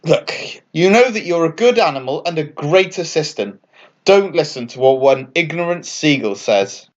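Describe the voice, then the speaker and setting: A man plays a young storybook witch, female, in a raised, lilting voice. She is kindly, brisk and encouraging. The recording is slightly dull. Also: on the high side, expressive, lightly acted.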